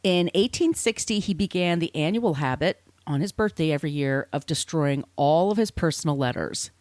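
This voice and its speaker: A woman podcast host with a slightly low voice that swings widely in pitch. She tells researched stories conversationally, with an irreverent streak, recorded close and dry. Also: lively intonation, moderate pace, darkish tone, no echo. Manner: irreverent, casually sweary. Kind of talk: conversational storytelling from researched notes.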